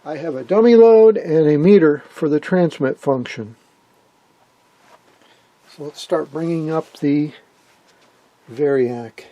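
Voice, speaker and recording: A man with a husky voice giving a casual, hands-on technical commentary, thinking aloud as he works. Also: on the high side, slow pace, long pauses, dry recording, dull audio. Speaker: host of an electronics repair vlog.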